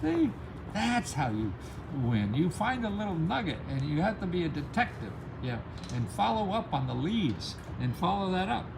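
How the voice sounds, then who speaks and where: A man's voice: high voice, big pitch swings, moderate pace, vocal fry, noisy background. A man hosting an advice channel in a casual, rambling, motivational style, talking emphatically and readily amused by his own points. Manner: emphatic, chuckles often at own remarks. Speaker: host of a grant-help advice channel.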